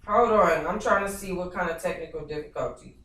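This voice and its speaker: A woman's voice: fairly low pitch, brisk, fairly bright tone. An American woman hosting a book club livestream, talking in a casual, chatty, slangy way with familiar endearments.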